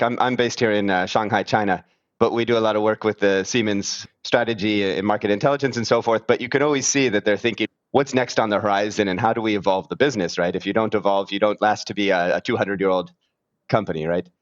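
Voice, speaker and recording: A man's voice: lowish voice, fast talker, no room sound, dull audio. A man hosting an interview podcast, talking casually with many fillers. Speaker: podcast host.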